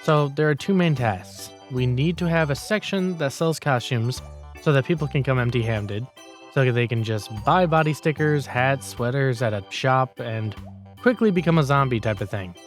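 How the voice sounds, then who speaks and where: A man's voice: medium pitch, normal speed, faint music behind, lightly acted. A man voicing a lazy, blunt senior office worker in a manga story, grumbling and critical in a matter-of-fact way. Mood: blunt, explaining. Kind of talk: manga dialogue voice acting.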